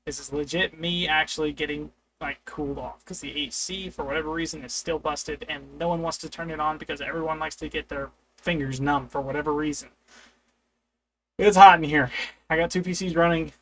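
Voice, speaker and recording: A man with a slightly high, gravelly voice that sounds crackly and worn. He rambles to himself in a loose, casual way during a live stream. A faint background hum sits under the voice. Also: steady tone, brisk, a bit bright. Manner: rambling, talking to himself while playing. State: voice crackly and strained. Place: hot, humid room with two PCs.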